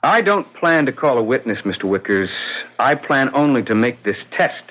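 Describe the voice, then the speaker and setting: Casting: a confident, theatrical defense attorney for vintage radio drama; very animated delivery, deliberate oratory, muffled old broadcast sound. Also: male voice, mid-range voice. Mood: firm.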